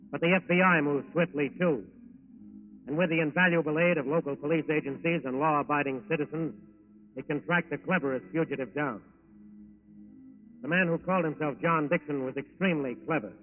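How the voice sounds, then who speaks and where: A man with an American accent giving dramatic, emphatic scripted narration for a 1940s radio crime show. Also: a little high. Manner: emphatic, repeating phrases. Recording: tinny 1940s radio recording with faint music.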